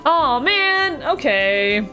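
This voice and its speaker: A woman's voice: sits high, very animated, drawn-out words, bright, forceful tone, soft background music. Rambling, giggly young woman streamer. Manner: rambling, giggly, trails off mid-thought. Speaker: let's play streamer. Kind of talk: casual reaction commentary.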